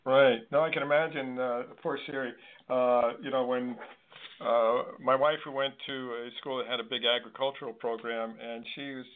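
A man's voice: medium pitch, little pitch movement, normal speed, smooth. A man hosting an interview as a casual discussion. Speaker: interview host. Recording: narrow phone-like line.

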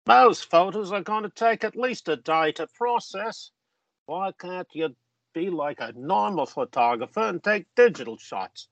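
A man's voice: high-pitched, expressive, measured pace, vocal fry, a bit bright, dry recording, lo-fi sound, heavily acted. A man playing a joking eldest brother who teases his younger sister. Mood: teasing. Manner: teasing, jokey banter. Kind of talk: amateur audio drama acting.

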